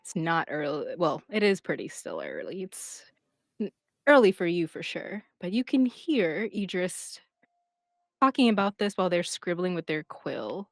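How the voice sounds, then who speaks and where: Female game master, lowish pitch, slow halting improvised narration, encouraging toward players. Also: sing-song, no room sound, clean studio sound.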